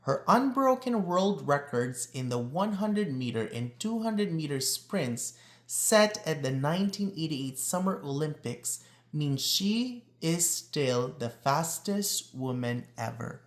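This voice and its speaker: A man reading a children's picture book aloud in a deliberate, expressive storytelling delivery, drawing out words for emphasis. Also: fairly high pitch. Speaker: read-aloud reader.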